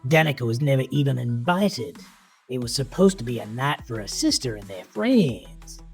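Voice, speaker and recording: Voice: medium pitch, very animated, moderate pace, a little mellow. A narrator reading a scripted horror story in a dramatic, suspenseful manner. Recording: music bed under narration.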